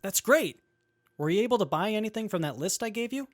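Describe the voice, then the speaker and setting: A man playing a capable, good-humoured young wasteland mechanic in an audio drama, measured and matter-of-fact. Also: high-pitched, lively intonation, background noise. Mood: calm, friendly. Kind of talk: post-apocalyptic audio drama acting.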